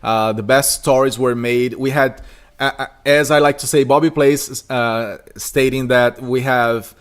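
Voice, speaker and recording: A man with a Portuguese-speaking accent hosts a casual podcast interview. His pitch sits in the middle, and he pauses often on "uh" while framing his questions. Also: steady tone, clean tone. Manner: hesitant, with many "uh" fillers. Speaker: podcast host, esports caster.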